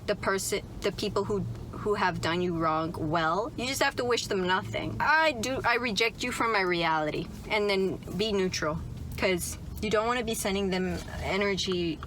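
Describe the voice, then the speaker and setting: A woman's voice: medium pitch, moderate pace, fairly bright tone, a little hiss or hum, low-quality recording. Chatty young woman talking in a car. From Canada. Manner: chatty, upbeat, full of "like" and "right?". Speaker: vlogger. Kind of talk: casual chat to camera. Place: inside a parked car.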